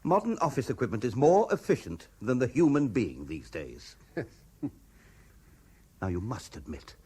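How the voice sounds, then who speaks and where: A man's voice: mid-range voice, big pitch swings, a little slow, darkish tone, breathy edge, heavily acted. A man playing a self-important, anxious businessman husband in a radio play, with a pompous manner. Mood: flustered, irritable. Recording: 1970s radio drama recording.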